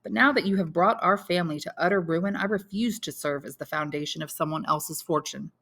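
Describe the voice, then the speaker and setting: A woman's voice: on the low side, fairly quick, fairly bright tone. A woman reading an audiobook, voicing a bitter banker husband in a formal, sardonic, pointed manner. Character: bitter, sarcastic banker husband writing farewell letter.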